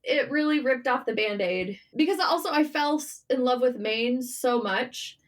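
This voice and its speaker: Woman, high voice, casual interview guest, animated, candid, informal, self-deprecating. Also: normal speed. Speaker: guest, writer and journalist.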